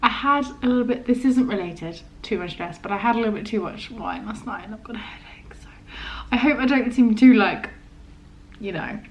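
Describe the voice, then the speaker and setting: A young woman vlogger talks casually to camera. She has a stammer and speaks haltingly, often trailing off before she completes a thought. Her pitch sits in an ordinary range for a woman. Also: poor audio.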